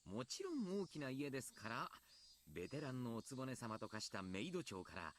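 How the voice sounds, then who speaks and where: A man's voice: mid-range voice, very animated, rapid-fire, slight background noise. A man voicing a courteous adult coach in a Japanese visual novel, lecturing theatrically about his gleefully perverted fantasies. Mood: eager, persuasive.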